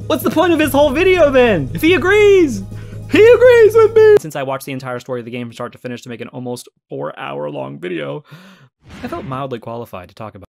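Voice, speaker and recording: Higher-voiced young man arguing over guitar music. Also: big pitch swings, fast talker, creaky, darkish tone. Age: young adult. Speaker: video essayist responding to a streamer. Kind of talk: conversational argumentative video commentary.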